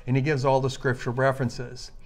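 A man preaching with enthusiasm, reading a Bible passage slowly and emphatically. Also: mid-range voice, monotone, a little mellow. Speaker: minister.

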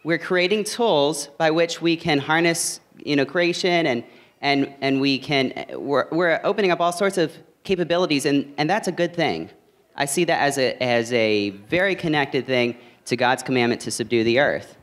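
Deliberate male panelist. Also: on the high side, fairly bright tone. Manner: thoughtful, deliberate, repeats words as he thinks. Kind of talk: panel discussion. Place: stage in a large auditorium. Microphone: handheld mic.

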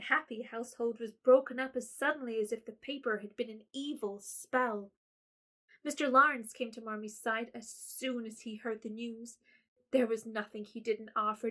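Narrator, woman, fairly high voice, expressive storybook reading.

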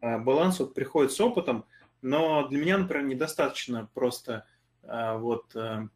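Young male composer, plain voice, hesitant and halting, webcam call in a small room. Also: mid-range voice, a little mellow. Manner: hesitant, many fillers. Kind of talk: casual video call chat.

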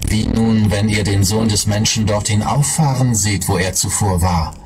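A man reads scripture aloud in a slow, solemn cadence. His voice is very deep. Noise runs under the playback. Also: fairly even intonation. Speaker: scripture reader. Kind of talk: recorded Bible reading.

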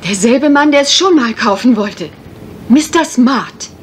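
An actress playing an anxious, genteel young guesthouse owner in a German radio crime drama. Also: female voice, fairly high pitch, expressive, measured pace, a little hiss or hum. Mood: uneasy, insistent.

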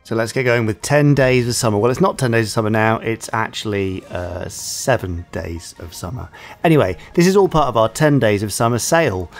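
A man's voice: lowish voice, fairly quick. Man hosting a podcast over music. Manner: bantering, informal. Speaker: podcast host. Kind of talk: casual podcast chat.

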